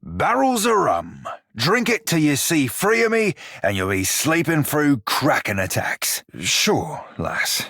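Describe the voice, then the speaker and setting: Husky salty rogue for a video game, flirty n folksy, really dry close sound. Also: male voice, a little high, wildly swooping pitch, takes it slowly, fairly bright tone, no echo, full character voice. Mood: playful, cheeky. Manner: salty pirate dialect, colloquial. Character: roguish blue-furred psychic pirate, flirty, salty, good-hearted. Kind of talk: video game character dialogue.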